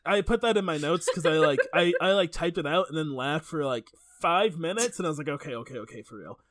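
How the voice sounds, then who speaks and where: High-voiced man chatting casually. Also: animated, fast talker, fairly bright tone, no room sound, clean studio sound. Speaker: podcast co-host. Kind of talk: casual banter about video games.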